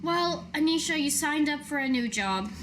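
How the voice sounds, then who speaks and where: A woman playing a world-weary but kind adventuring captain in a tabletop game, halting and understated. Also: high voice, animated, background noise, voice pushed up. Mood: reflective, resigned.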